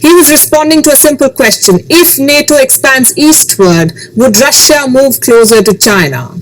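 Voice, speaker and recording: A woman hosting a scripted news commentary, speaking emphatically with rhetorical questions and dramatic pauses. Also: high voice, expressive, a little hiss or hum, low-quality recording.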